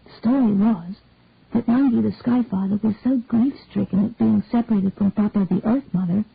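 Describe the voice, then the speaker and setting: A woman reading a story aloud in a calm, measured way, with a tinny phone-like sound. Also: mid-range voice, vocal fry, husky, no echo. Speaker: narrator.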